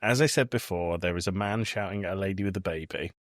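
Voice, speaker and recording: A man hosting a podcast, chatting casually about films in an animated storytelling way. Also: low-pitched, fast, no echo, clean studio sound. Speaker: podcast host. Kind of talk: casual film review chat.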